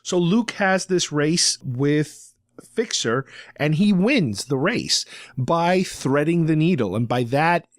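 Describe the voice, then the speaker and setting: Man, fairly high pitch, casual storytelling recap, explanatory, conversational, podcast host, no room echo. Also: expressive, takes it slowly, studio quality.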